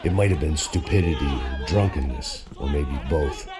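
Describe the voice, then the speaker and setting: A man playing a jaded, middle-aged, hard-drinking action hero in deadpan, world-weary noir game narration. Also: extremely low pitch, normal speed, loud music behind the voice, over a noisy crowd. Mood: brooding, weary. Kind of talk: hardboiled noir voice-over narration.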